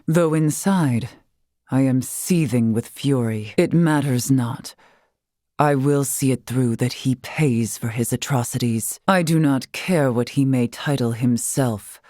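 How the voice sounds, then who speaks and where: A woman's voice: a bass voice, unhurried, warm tone, dry recording, clean studio sound. An actress playing a dignified, formal woman warrior-scholar in a video game, speaking in stately, archaic phrasing. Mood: grave, seething. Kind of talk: scripted video game character lines.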